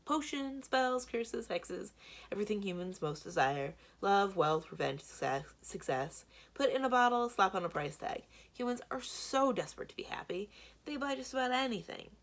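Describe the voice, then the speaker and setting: A woman's voice: medium pitch, animated, moderate pace, pitched above the performer's own voice, lightly acted. A woman voicing a sly, theatrical male potion seller with smug salesman patter in a children's storybook. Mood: gleeful boasting. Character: Blue, sly bald theatrical male potion-selling schemer. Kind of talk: children's book read-aloud.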